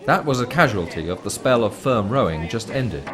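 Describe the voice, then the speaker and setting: A man reading scripted documentary commentary in a measured, formal, explanatory manner. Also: mid-range voice, animated, a crowd in the background. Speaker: documentary narrator.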